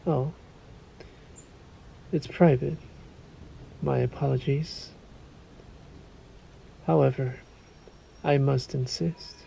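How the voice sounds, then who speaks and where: A man playing a sadistic, courtly vampire lord in a roleplay audio drama, suave and menacing, with formal, drawn-out politeness. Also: mid-range voice, fairly even intonation, vocal fry, warm tone, a little hiss or hum, heavily acted, low-quality recording. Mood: coaxing, insistent. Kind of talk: scripted roleplay audio drama, addressed to listener.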